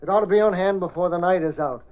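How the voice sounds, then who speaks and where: A man acts out a tough gangster's sidekick with a high-pitched voice. He talks in crude street slang, dim but loyal to his boss. The sound is a muffled old-time radio broadcast. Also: fast talker, slight background noise, lightly acted. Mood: eager, obedient. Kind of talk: 1930s-40s radio crime drama acting.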